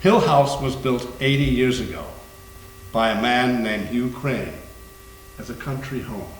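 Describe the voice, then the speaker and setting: Male actor as learned haunted-house investigator, grave deliberate storyteller, readers theater, echoey hum-tinged room. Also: medium pitch, natural voice. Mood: grave, measured. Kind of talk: staged readers theater performance.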